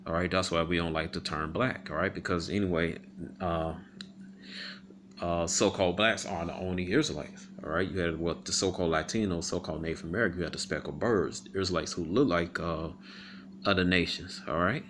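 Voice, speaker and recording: A man giving casual commentary on a video. He reads viewer comments aloud, rebuts them, pauses often with "um," and keeps asking "all right?". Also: sits low, noisy background. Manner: halting, many ums and repeated "all right?" tags.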